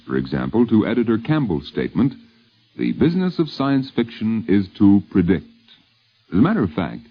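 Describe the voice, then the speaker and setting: An American man narrating a scripted 1950s radio documentary in a formal, wry, literary manner. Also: on the low side. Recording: dull vintage radio recording.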